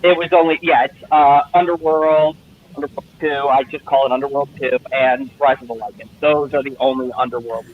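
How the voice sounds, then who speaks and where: A man calling in as a guest in casual stream chat, quick to agree with the host. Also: medium pitch, steady tone. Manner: quick to agree, chuckles along. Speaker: guest caller. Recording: tinny phone-like line with faint noise.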